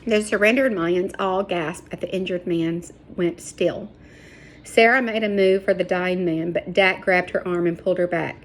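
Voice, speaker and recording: Expressive woman reading aloud, noisy recording. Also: lowish voice, normal speed. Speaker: storybook reader. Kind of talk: scripted narration, children's book read aloud.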